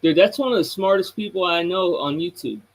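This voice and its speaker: Laughing man with a fairly high voice. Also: a little fast, rough recording. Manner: laughing, self-mocking, full of fillers. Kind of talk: casual chat on a livestream. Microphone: computer mic.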